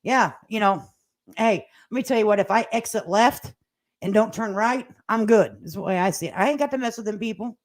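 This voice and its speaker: A woman's voice: mid-range voice, brisk. An older woman chatting casually as a livestream host, with a folksy, sassy, plain-spoken and slangy way of talking. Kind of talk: casual livestream commentary chat, reading viewer comments aloud. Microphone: standalone microphone at a laptop.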